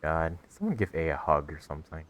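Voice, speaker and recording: A young man hosting a gaming video, giving casual, halting commentary as he plays. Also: very deep voice, sing-song, fairly bright tone, a little hiss or hum.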